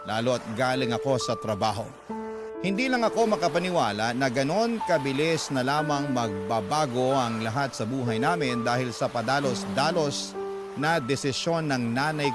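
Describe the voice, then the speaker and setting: Male radio storyteller over loud music. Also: medium pitch. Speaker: radio host reading a listener's letter. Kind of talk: dramatic scripted first-person narration. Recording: loud music bed under narration.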